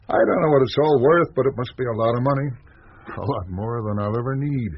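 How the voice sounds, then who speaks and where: An actor playing a pragmatic, guarded small-town American newspaper man in a radio drama, measured and reasoning aloud. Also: medium pitch, natural voice. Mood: pleased, candid. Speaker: newspaper editor character. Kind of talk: naturalistic radio drama dialogue. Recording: dull lo-fi radio recording, faint noise.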